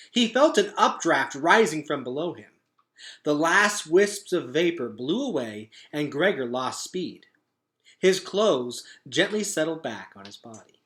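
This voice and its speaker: A man reading a children's book aloud as a teacher would, with dramatic, expressive narration. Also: a little high.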